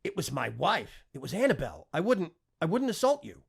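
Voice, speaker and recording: Audiobook blustering fat lord, male narrator acting: stammering, rattled, husky, pitched up. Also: huge pitch swings, a little fast, exaggerated voice. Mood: panicked. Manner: stammering, spluttering. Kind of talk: audiobook character dialogue.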